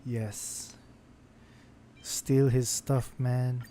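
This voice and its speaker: Slow, dark-voiced man muttering at a game. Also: mid-range voice, level tone, slight background noise. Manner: mutters to himself while playing. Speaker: streamer. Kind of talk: casual gameplay commentary.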